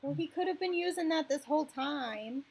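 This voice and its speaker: A woman's voice: high-pitched, animated, normal speed, gravelly. A woman chatting casually on a livestream, with faint background noise.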